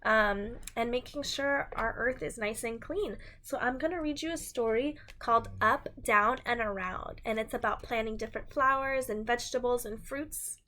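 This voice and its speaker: A woman's voice: fairly high pitch, normal speed, few pauses, bright, forceful tone, a little hiss or hum. A woman reading a picture book aloud to young children in a sing-song manner, speaking to them directly as a teacher would.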